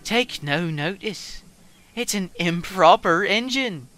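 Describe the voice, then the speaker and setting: A man voicing a cool steam engine in a children's story, needling a rival in a deliberate, mocking way. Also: high voice, animated, pushed and bright, faint music behind, voice pushed up, exaggerated voice. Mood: mock disdain.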